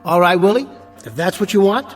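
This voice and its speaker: A man's voice: sits high, lively intonation, fairly quick, soft background music. An actor playing a genial, flustered American ventriloquist, the placating straight man in a radio comedy act. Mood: coaxing.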